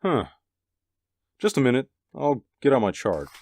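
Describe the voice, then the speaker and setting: Man as sceptical apartment super, middling pitch, lively intonation, offhand, matter-of-fact, radio drama. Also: measured pace, no room sound. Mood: puzzled, businesslike. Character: plain-spoken, sceptical apartment building superintendent.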